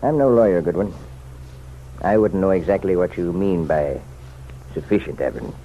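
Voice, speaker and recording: A man's voice: sits low, moderate pace, lightly acted. An actor in an old-time radio drama playing an insistent, folksy townsman who offers pointed, chummy advice. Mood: earnest, pressing. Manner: folksy, chummy, leaning and persuasive. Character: insistent, insinuating townsman, nosy self-appointed helper to the sheriff. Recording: muffled, noisy vintage radio recording.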